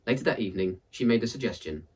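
A man in his thirties with a husky voice, reading and presenting a book as an expressive storyteller. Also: lowish voice, fast talker, recorded from a distance. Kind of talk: expressive read-aloud storytelling and book introduction. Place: indoors in front of a purple backdrop.

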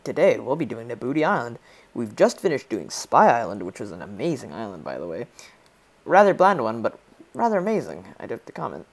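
A teenage boy with a Polish accent gives loose, joking commentary while playing a game. His voice is gravelly and swings up and down a lot. He rambles and reads game lines aloud in silly voices. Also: mid-range voice, huge pitch swings, a little fast. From Poland. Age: teens. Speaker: gaming YouTuber. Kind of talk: casual let's play commentary.

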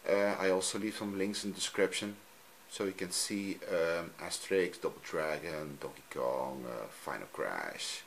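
A man from Belgium, a native Dutch speaker with a Dutch accent, talking off camera in a halting, simple way while he films his TV screen at home. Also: deep voice, fairly bright tone, a little hiss or hum. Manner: halting, frequent ums, simple non-native phrasing. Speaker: hobbyist youtuber. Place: room at home, filming a TV screen.

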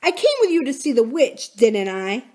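A woman's voice: on the high side, sing-song, moderate pace, warm tone, voice pushed up. A woman voicing a timid young girl who protests defensively in an audiobook story.

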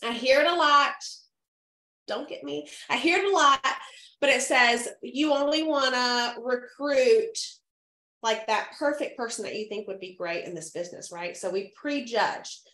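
A woman in her thirties, a little hoarse from a cold, coaching her sales team over a webcam in an upbeat way, often checking in with the listeners. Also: on the high side, measured pace, pushed and bright. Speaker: direct sales team leader. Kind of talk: training talk to a sales team. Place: home room, indoors. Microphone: webcam on a video call. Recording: echoey room.

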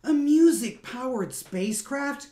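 A man's voice: high voice, big pitch swings, normal speed, darkish tone. A man reading a picture book aloud, voicing a doubtful female alien who shrugs off ideas skeptically. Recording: roomy echoey room.

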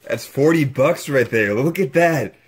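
A young man narrating his own exploration vlog, excitedly exclaiming over what he finds while filming up close with a handheld camera. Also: mid-range voice, measured pace, slightly rough. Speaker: exploration vlogger.

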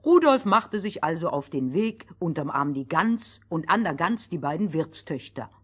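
A woman's voice: deep voice, big pitch swings, moderate pace, slightly rough, exaggerated voice. A woman playing a bossy, exacting storyteller in a fairy-tale audio play, with emphatic delivery. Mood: narrating. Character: bossy, exacting female storyteller, correcting her partner. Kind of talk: acted fairy-tale narration in dialogue.

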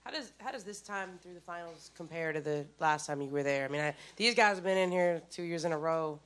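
Low-voiced, fast-talking woman reporter. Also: expressive, clear, resonant tone. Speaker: sports reporter. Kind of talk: press conference question.

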